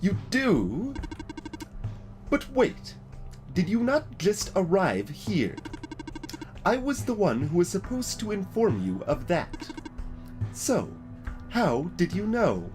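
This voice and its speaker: Man voicing a high-pitched polite robot guide. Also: wildly swooping pitch, takes it slowly, pauses often, a little mellow, full character voice. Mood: puzzled, formal. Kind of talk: voicing dialogue of a video game. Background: game music.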